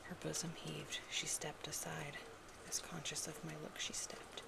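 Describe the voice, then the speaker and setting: This is a hushed, whispering voice with a very crackly, creaky edge. It reads love poems in an ASMR roleplay style, softly and intimately. The phrases are unhurried and breathy, at a medium pitch. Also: flat delivery. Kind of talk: whispered ASMR poetry reading.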